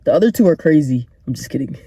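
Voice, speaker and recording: A man's voice: a little high, animated, fast talker, dark tone, slightly rough, no echo. A congested young man hosting a casual solo podcast, talking earnestly and encouragingly with joking asides. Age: young adult. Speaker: podcast host.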